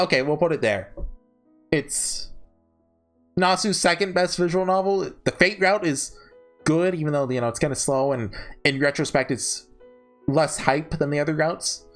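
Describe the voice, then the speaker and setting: A man's voice: on the high side, measured pace, a bit bright. A man giving casual, off-the-cuff ranking commentary, rambling and often backtracking mid-sentence, with emphatic, enthusiastic fan opinions. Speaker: host ranking visual novels. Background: background music.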